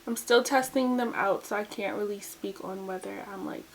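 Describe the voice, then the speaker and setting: A woman's voice: medium pitch, normal speed, slight background noise, poor audio. A young woman chatting casually through a makeup tutorial at home, close to the camera, with a dry, groggy throat and lots of "like" and "um". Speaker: beauty vlogger.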